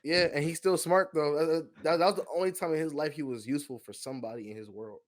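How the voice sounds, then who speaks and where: Slangy, opinionated man with a higher voice. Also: fast talker, crowd noise. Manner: slangy, opinionated, repeats himself. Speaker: podcast co-host. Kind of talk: casual chat, film discussion.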